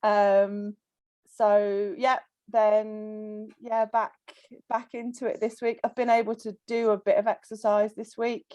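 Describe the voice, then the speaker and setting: A woman on a casual coaching call, croaky from illness, chatting with frequent ums and laughs. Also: medium pitch, little pitch movement, slow pace, dark tone, dry recording. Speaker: coaching client.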